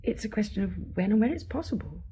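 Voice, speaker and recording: With faint noise behind her, a young secretary speaks in a radio detective drama, played by an actress. She is composed and candid, with a medium-pitched voice and a guarded, matter-of-fact delivery. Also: sing-song, fast, dark tone, close to an everyday voice.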